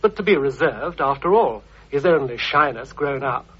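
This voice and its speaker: A middle-aged man reading a scripted radio essay in a measured, reflective manner. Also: medium pitch, animated, a bit bright, breathy edge. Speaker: programme writer and presenter. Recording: muffled vintage radio recording.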